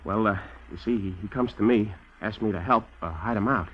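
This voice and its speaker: An actor playing a nervous, shifty small-time informer with an American accent, stammering and pleading in vintage radio crime style. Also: male voice, fairly low pitch, fairly quick, a little hiss or hum, natural voice. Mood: shifty, hesitant. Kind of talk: 1940s-1950s radio crime acting. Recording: dull vintage radio recording, music behind.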